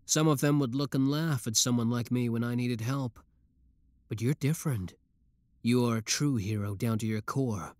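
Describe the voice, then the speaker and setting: A man's voice: medium pitch, normal speed, darkish tone, dry recording, natural voice. A young man voicing an obsessive, lovesick young male villain in a theatrical, brooding audio roleplay. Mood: smug, possessive. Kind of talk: roleplay audio drama monologue.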